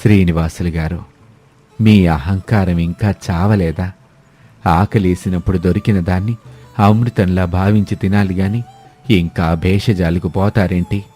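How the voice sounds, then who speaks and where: A man voicing a stern, reproving village elder in a moral-story cartoon, scolding in a deliberate way. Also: sits low, fairly even intonation, dark tone, faint music behind.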